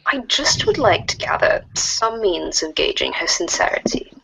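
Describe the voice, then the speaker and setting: A woman plays a curious alien troll girl, puzzled by humans. The voice is slightly rough yet lively in pitch, and the phrasing is formal, wordy and stilted, as read in an amateur webcomic drama. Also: normal speed, exaggerated voice, poor audio. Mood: inquisitive. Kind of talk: amateur reader's theater of a webcomic.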